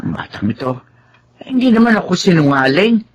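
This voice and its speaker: A woman's voice: fairly low pitch, very animated, a little fast, lo-fi sound, slight background noise. An actress playing a kindly, inquisitive older maid in a Filipino radio drama, motherly and fussing. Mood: curious, flustered. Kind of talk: Tagalog radio drama acting.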